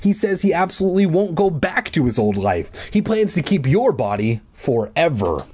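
Man putting on a flustered, high-pitched office woman. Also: big pitch swings, moderate pace, darkish tone, slightly rough. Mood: hesitant explaining. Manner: stammering, exclaiming. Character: flustered, apologetic female office clerk. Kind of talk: children's book read aloud.

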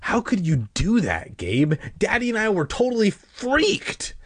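A man's voice: on the high side, wildly swooping pitch, measured pace, husky, dry recording, studio quality, voice pushed up, full character voice. A man voicing a bossy, impatient young girl who scolds like a know-it-all in a children's storybook. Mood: scolding, impatient.

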